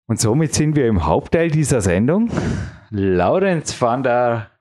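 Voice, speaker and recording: A man's voice: mid-range voice, very animated, unhurried, darkish tone. A man hosting a conversational podcast interview, putting his questions in a wordy, meandering way.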